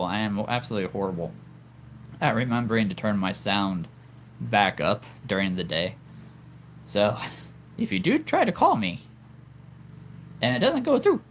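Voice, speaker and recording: A man's voice: on the low side, fairly bright tone, a little hiss or hum. A man hosting a livestream in casual chat, laughing often and stammering over repeated words. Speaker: livestream host.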